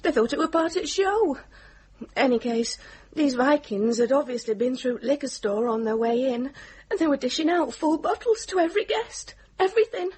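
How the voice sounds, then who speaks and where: A young banquet waitress with a very high voice. She has a Northern English accent and talks in a naive, chatty way, as a character in a radio drama. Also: animated, normal speed, a little mellow. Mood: excited.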